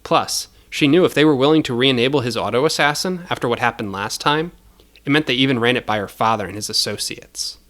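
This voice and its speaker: A man's voice: mid-range voice, brisk, a bit bright. A man narrating scripted audio fiction as the storyteller.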